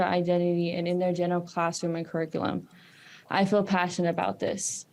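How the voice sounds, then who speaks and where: A young woman reads her prepared testimony to a committee in an earnest, steady way. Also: fairly low pitch. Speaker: student testifier.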